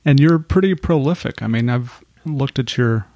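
A man hosting a podcast who introduces his guest and asks relaxed, curious questions. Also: medium pitch, normal speed, no echo. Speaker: podcast host. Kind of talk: podcast interview.

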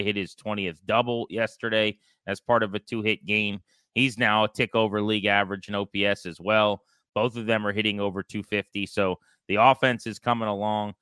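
A man hosts a sports talk podcast in a bright, forceful voice that sits a little low. He speaks in an animated, conversational way, as if trading takes with a co-host. The sound is dry and close. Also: clear, resonant tone, dry recording.

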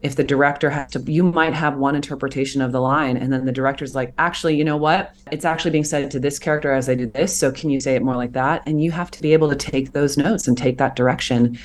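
A woman's voice: very deep voice, fast, few pauses, slight background noise. A woman in her thirties, a voice actor being interviewed, talking earnestly and reflectively about her craft. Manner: earnest, reflective, animated when explaining. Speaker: voice actor guest. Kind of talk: interview conversation.

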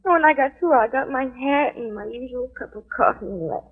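Very high, distraught young woman. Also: brisk, breathy edge, narrow, tinny audio. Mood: agitated, resisting. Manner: agitated, insistent. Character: distraught, defiant young female cigarette girl in jail. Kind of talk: 1940s radio melodrama acting. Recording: vintage 1940s radio drama recording.

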